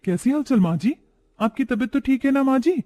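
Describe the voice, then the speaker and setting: Guy doing gentle high voiced village bahu, young woman, respectful n reassuring, cartoon family story. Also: lively intonation, fairly quick. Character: dutiful, gentle village elder daughter-in-law, young woman.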